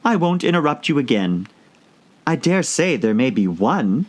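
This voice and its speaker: A man's voice: medium pitch, very animated, moderate pace, pitched above the performer's own voice, lightly acted. A man voicing a curious, polite young girl in a storybook, easily exasperated. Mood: humble.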